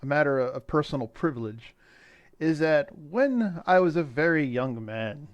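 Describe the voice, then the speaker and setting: A man's voice: a little high, expressive, normal speed, dull audio. A man co-hosting a podcast in casual comedic chat, with a jokey, mock-formal announcing manner and the odd swear. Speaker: podcast co-host.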